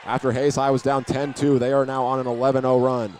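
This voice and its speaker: A man giving steady, methodical live play-by-play commentary of a high school basketball game. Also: fast talker. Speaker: play-by-play announcer. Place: indoor school basketball gym. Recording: live gym broadcast with clear background noise.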